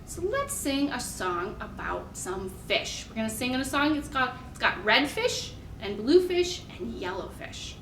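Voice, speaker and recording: A woman's voice: fairly high pitch, far from the mic. An American woman leading a storytime for toddlers as a children's librarian, talking simply to small children with questions and encouragement. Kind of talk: picture-book reading and sing-along for toddlers. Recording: echoey room with faint background noise.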